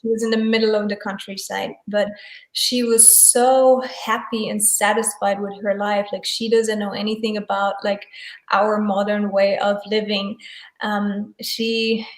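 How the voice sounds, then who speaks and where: A woman whose native tongue is German speaks in a flat, even tone, pausing often with fillers and restarting her sentences. She is heard over a video call in an echoey room. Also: medium pitch. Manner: hesitant, many fillers and restarts. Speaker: guest, tarot deck creator and photographer. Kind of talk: casual interview chat.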